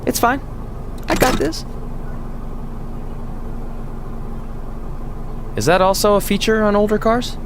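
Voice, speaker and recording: A man's voice: sits high, fairly quick, background noise. A man playing a dim but cocky young wrestler in a video game cutscene, with a flippant, goofy delivery.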